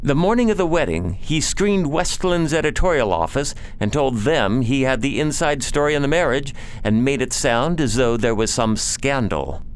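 A man's voice: medium pitch, lively intonation, normal speed, few pauses, a little hiss or hum, natural voice. An actor playing a nobleman's loyal friend, earnestly explaining bad news in a science fiction audio drama.

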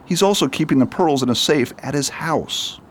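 A man playing a shrewd, secretive detective in a radio drama, brisk and authoritative. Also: fairly high pitch, expressive, a little hiss or hum, natural voice. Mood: serious. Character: shrewd, confident male detective, secretive and commanding. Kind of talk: amateur radio drama acting.

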